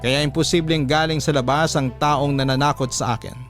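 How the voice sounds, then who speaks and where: A man's voice: fairly high pitch, fairly even intonation, normal speed, fairly bright tone, soft background music. A man with a Filipino accent reading a first-person story as a dramatic radio narration.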